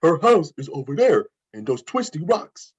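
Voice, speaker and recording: A man's voice: on the high side, big pitch swings, normal speed, husky. A man playing an eager, simple-minded talking dog in a cartoon script read, with literal, oddly formal phrasing, on a dull lo-fi microphone. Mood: explanatory. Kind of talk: amateur table read of an animated film script.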